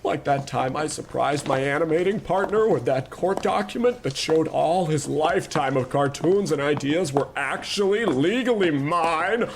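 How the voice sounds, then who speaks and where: A man's voice: fairly high pitch, animated, normal speed, hardly any pauses, a little hiss or hum. An actor playing a haughty, greedy male cartoon studio boss in an old-time radio-style comedy play, bossing his staff around dismissively.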